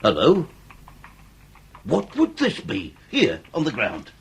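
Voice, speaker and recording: Victorian police inspector, grandiloquent, suspicious, lively melodic delivery, slight background noise. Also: male voice, medium pitch, slow pace, vocal fry, heavily acted. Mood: pompous, suspicious. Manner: grandiloquent, probing. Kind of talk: British period radio comedy drama.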